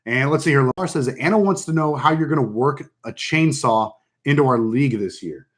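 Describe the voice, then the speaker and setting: A man with a deep voice, casually hosting a fantasy football draft stream, joking and rambling with lots of fillers. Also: fairly quick. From Texas. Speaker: fantasy football league host. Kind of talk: casual live stream chat.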